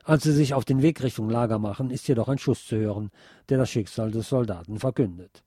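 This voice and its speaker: Mellow male radio host, dry sound. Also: mid-range voice, a little fast, breathy edge, dry recording. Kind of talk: scripted radio narration.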